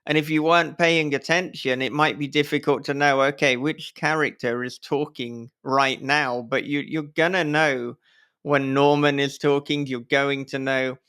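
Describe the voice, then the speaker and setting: A man's voice: medium pitch, steady tone, moderate pace, a bit bright, clean tone. A man hosting a casual podcast conversation, talking effusively in long, wordy sentences with the odd casual swear word. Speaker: podcast host and author.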